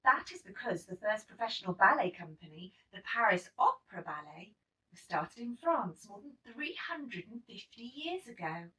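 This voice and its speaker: A woman's voice: medium pitch, sing-song, normal speed, sharp tone, far from the mic, rough recording. A woman reading a storybook part as a kind female ballet teacher, explaining steps in an instructive, emphatic way.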